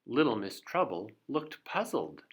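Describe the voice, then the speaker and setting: A man narrates a storybook for children. His pitch is mid-range and highly varied. He takes his time with each phrase. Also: sing-song, measured pace, slightly rough. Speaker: storybook reader. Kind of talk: animated read-aloud narration for children.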